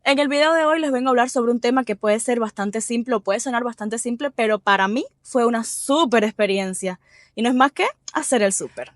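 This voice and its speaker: Cuban lady doing a vlog, highish voice, chatty and expressive. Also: fairly quick, no room sound, studio quality. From Cuba. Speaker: vlog host. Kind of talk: casual vlog chat to camera.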